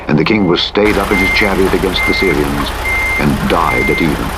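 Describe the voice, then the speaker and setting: A man narrates scripture in a solemn, formal style, with measured and dramatic delivery. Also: deep voice, low-quality recording. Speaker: audiobook Bible narrator. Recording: loud truck engine noise over voice. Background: truck engine and vehicle sounds from driving simulator game.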